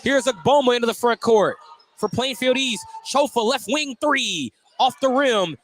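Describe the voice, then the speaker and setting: A man doing live radio play-by-play of a high school basketball game, describing the action in clipped running phrases. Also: moderate pace, fairly bright tone, a little hiss or hum. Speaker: play-by-play announcer.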